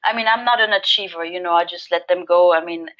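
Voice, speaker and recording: A woman with a German accent, a non-native English speaker, telling her recovery story in an interview with a loud, animated, laughing manner. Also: on the low side, fast, dull audio. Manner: animated, laughing, self-mocking. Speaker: guest sharing recovery story.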